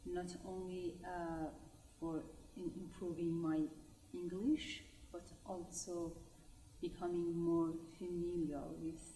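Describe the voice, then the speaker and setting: Woman from Iran, slow halting speech, mellow tone, echoey and far off. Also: on the low side, level tone, slight background noise. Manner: halting, many pauses. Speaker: hospital volunteer.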